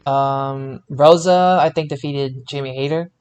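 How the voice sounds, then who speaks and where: A man co-hosting a casual wrestling review podcast in a halting way, often repeating his words. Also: mid-range voice, steady tone, darkish tone, clean tone. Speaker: podcast co-host.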